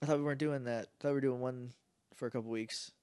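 A man co-hosting a casual podcast, chatty and quick to laugh, with a slightly nasal voice. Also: medium pitch, smooth, dry recording, clean studio sound. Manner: chatty, laughs often, animated. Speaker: podcast co-host. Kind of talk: casual chat.

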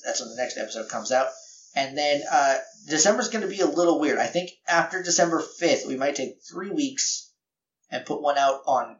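A man's voice: mid-range voice, roomy sound, dull audio. A man hosting a podcast, giving casual, rambling announcements in a slightly scratchy voice, often correcting himself and chuckling at his own remarks. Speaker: podcast host.

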